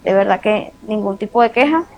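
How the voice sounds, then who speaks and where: Fast-talking coastal Venezuelan woman. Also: mid-range voice, expressive. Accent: Venezuelan, coastal La Guaira. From La Guaira, Venezuela. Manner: colloquial, repetitive. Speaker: interviewee, disaster survivor. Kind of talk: spontaneous interview answer.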